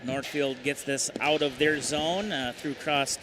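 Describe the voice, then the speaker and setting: A man calling a hockey game play-by-play, rattling off each pass and shot at a brisk clip. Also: fairly high pitch, background noise. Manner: brisk, rattling off puck movement, excited on goals. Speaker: play-by-play announcer.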